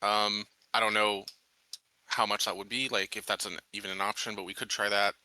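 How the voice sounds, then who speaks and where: A man with a lowish but very bright, sharp voice talks as a player in a tabletop game. He reasons things out analytically in halting phrases. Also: level tone, no room sound, low-quality recording. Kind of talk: casual chat during a tabletop game.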